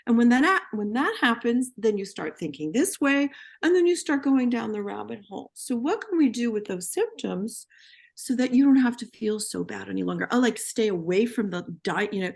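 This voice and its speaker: A woman in her sixties, a veteran therapist, chats in a lively way and acts out exchanges with her patients. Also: fairly high pitch, moderate pace, creaky. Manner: animated storyteller acting out her patients' lines. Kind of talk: casual professional discussion.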